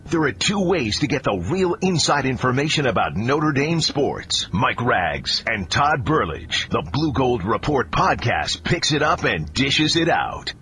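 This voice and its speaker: A man's voice: medium pitch, big pitch swings, unhurried, few pauses, sharp tone, a little hiss or hum. A man reading a scripted radio promo as an announcer.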